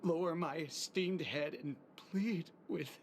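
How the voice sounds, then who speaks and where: A man's voice: a little high, slow pace, slight background noise. A man playing an exasperated guard in a video game, pleading in a theatrical, mock-humble way. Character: exasperated, pleading male guard with a dramatic streak.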